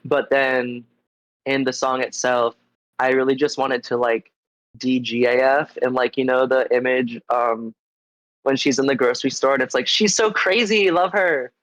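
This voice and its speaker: A man's voice: medium pitch, steady tone, normal speed, a bit bright, no room sound, low-quality recording. A man getting over a cold, congested, chatting casually as an interview guest in an informal, meandering way with lots of filler likes. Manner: informal, meandering, heavy use of like. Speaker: interview guest, musician in a band. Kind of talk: casual chat. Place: live online stream.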